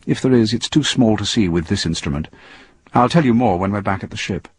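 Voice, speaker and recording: A man's voice: fairly low pitch, expressive, a little fast, slightly rough, no echo. A man voicing a confident, impatient male scientist exploring space, with an assured, explanatory delivery in a story reading. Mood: matter-of-fact.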